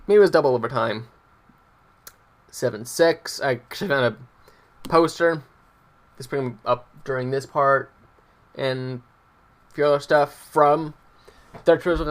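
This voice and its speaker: Young man rambling, higher-pitched voice. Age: young adult. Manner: hesitant, meandering, breaks off mid-sentence. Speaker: vlogger. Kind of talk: casual rambling personal storytelling.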